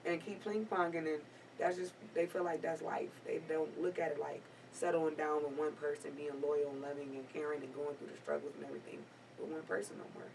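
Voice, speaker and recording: A woman in her twenties speaking candidly in a casual panel chat, laid-back with drawn-out phrasing. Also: low voice, creaky, darkish tone, distant mic, slight background noise, rough recording. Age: 20s. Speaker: guest panelist.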